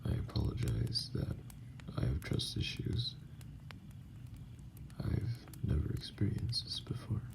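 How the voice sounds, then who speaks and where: A man playing a wounded, feisty, mistrustful vampire in an intimate, halting ASMR roleplay. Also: big pitch swings, heavily acted. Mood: reluctant, softened. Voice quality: gravelly, breathy, very low.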